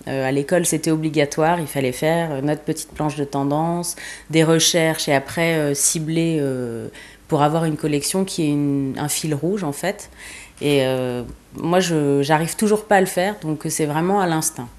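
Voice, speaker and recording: A woman's voice: low-pitched, normal speed, a little mellow. A young woman from eastern France, a fashion designer being interviewed, who speaks casually with many hesitations and fillers.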